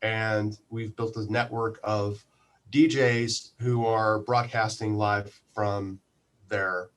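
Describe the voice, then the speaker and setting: A man explaining things informally as an interview guest, halting and repeating words as he searches for phrasing. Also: fairly low pitch, little pitch movement. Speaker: podcast guest, radio station general manager.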